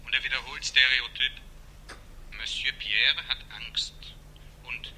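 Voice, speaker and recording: Male nurse, very sharp bright tone, clinical, dutiful, telephone call in an old radio play, faint noise. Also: mid-range voice, fairly even intonation, unhurried. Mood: clinical, reporting. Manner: clinical, precise reporting. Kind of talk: 1960s German radio crime drama acting.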